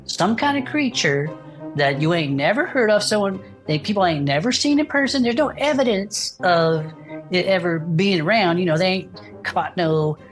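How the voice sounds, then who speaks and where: A man playing a folksy, good-natured small-town radio co-host with a Southern US accent and a husky, drawling, enthusiastic delivery. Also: sits high, lively intonation, normal speed, faint music behind. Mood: playful, chatty. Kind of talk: improvised in-character audio drama chat show.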